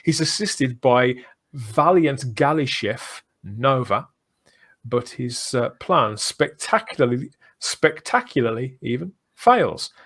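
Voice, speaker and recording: A man's voice: medium pitch, expressive, takes it slowly, fairly bright tone. A man hosting a casual fan podcast, talking in a conversational way, often rephrasing himself and checking for agreement. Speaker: podcast host.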